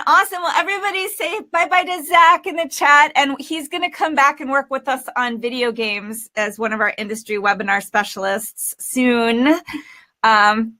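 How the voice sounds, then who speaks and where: A woman who coaches voice acting, hosting a casual webinar chat over a video call, talking in a chatty, animated way. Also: fairly high pitch.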